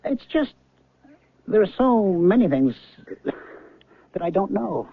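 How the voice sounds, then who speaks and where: An actor playing an earnest, disillusioned, restless man in 1970s radio drama, speaking theatrically and emphatically with halting pauses. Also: high voice, very animated, gravelly, no room sound, full character voice. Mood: restless, weary. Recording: thin phone-like old radio recording, noisy.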